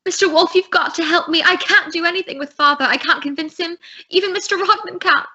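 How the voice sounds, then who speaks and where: A woman's voice: extremely high pitch, brisk, pushed and bright. A teenage girl playing a distressed young woman client in a student radio drama, with an earnest, urgent manner. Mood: pleading. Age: teens. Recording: dull lo-fi recording.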